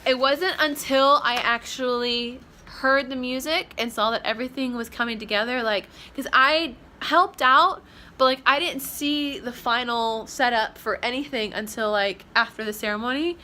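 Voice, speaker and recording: A woman's voice: on the high side, moderate pace, pushed and bright, slight background noise. A young woman vlogging casually about her day, rambling and chatty with lots of fillers, from a hotel room. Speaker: vlogger, bride. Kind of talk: casual vlog chat.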